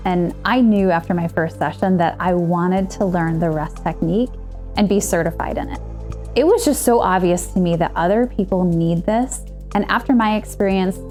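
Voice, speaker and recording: Woman, 30s, earnest testimonial, warm dark tone, faint music underneath. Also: lowish voice. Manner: earnest, personal storytelling. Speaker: client and certified coach. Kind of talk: scripted testimonial.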